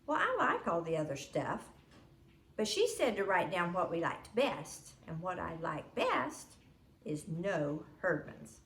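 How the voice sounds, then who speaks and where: A woman's voice: on the low side, sing-song, measured pace, a bit bright, echoey room, full character voice. A woman voicing a blunt, matter-of-fact young schoolboy from a children's storybook. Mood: blunt, frank.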